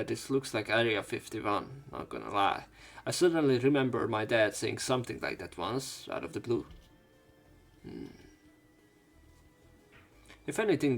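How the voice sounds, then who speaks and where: A man's voice: fairly low pitch, gravelly. A man hosting a let's play, reading game text aloud and adding casual, joking commentary.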